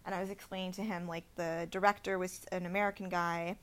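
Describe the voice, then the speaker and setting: A young woman from India co-hosting a podcast, telling a story in a casual, animated way with lots of "like" fillers. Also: on the low side, fast. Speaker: podcast co-host. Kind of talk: casual chat.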